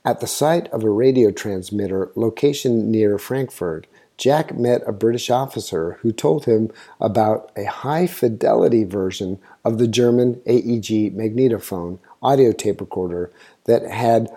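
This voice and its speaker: A man's voice: fairly low pitch, mellow, husky. A man hosting a history show, reading a scripted narration in an informative storytelling way, now and then stumbling over a word. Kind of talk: scripted narration, read aloud.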